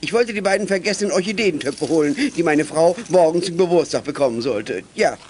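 A man's voice: on the high side, lively intonation, a little fast, a little hiss or hum, close to an everyday voice. A man playing a huffy, flustered school librarian who protests indignantly in a youth radio drama. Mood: huffy, defensive. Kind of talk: 1980s German youth radio drama acting.

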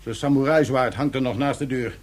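A man's voice: medium pitch, fairly quick, close to an everyday voice. A man playing a scornful, hot-headed captive with a sarcastic, insolent manner in a science fiction radio drama.

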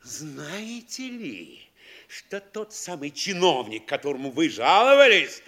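A pompous small-town mayor in a classic stage comedy for audio. His voice is high and forceful, swinging from sly, drawn-out menace to bellowing, gloating abuse. The delivery is broad and theatrical, with big leaps in pitch. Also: sing-song, heavily acted. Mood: furious, scornful. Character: bullying, gloating provincial mayor, pompous and quick to rage.

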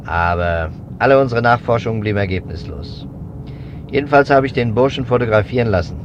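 An actor playing a methodical, suspicious investigator in a 1950s German radio drama, speaking deliberately in emphatic, clipped phrases. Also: male voice, mid-range voice, lively intonation, lightly acted. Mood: determined. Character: persistent adult male investigator, methodical and suspicious. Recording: dull, noisy 1950s radio recording.

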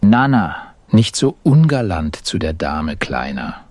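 A man playing a stuffy, gallant and easily irritated gentleman in an audio drama, with old-fashioned courteous grumbling. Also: low-pitched, sing-song, slow pace, no room sound, heavily acted.

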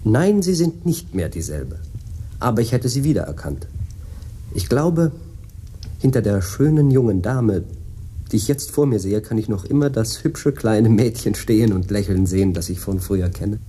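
A man acting in a radio play as a composed gentleman, courteous and measured. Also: lowish voice, expressive, slight background noise, natural voice. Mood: tender, nostalgic. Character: composed gentleman tenderly greeting a childhood friend.

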